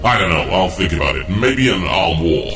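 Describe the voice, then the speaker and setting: A man's voice: low voice, big pitch swings, moderate pace. A man playing an anxious, exasperated undead king in a comic radio play, pleading and easily flustered. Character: whiny, anxious undead king, cowed before his boss. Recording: echoey voice chat, music behind.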